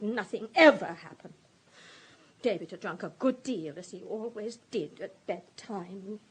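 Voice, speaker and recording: An actress in a radio drama playing a distraught, self-pitying society woman, halting and stammering with emotion. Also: fairly high pitch, slight background noise.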